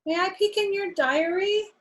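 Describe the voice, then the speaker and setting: A woman's voice: extremely high pitch, moderate pace, pitched above the performer's own voice. Woman reading as polite, mischievous boy hippo. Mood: nosy, polite. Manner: expressive storybook delivery. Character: George, nosy, polite, mischievous, nervous male hippo.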